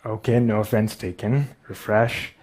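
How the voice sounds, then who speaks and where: A man's voice: lowish voice, steady tone, normal speed, a little mellow. A man with a Pakistani accent telling a personal story, a speaker who stutters and pokes fun at himself. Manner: stutters, self-deprecating. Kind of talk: storytelling talk.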